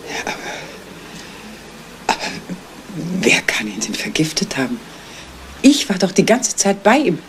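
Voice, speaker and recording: An actress playing a bereaved sister in a radio crime drama, halting and earnest, shaken but insistent. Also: female voice, on the low side, huge pitch swings, slight background noise, heavily acted. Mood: shocked. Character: bereaved sister, former nurse, shaken but firm woman. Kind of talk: 1970s German radio crime drama acting.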